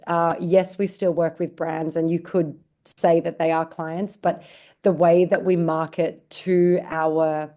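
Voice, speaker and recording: Australian woman, low and warm, relaxed conversational podcast guest. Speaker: guest, marketing director. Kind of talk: podcast interview.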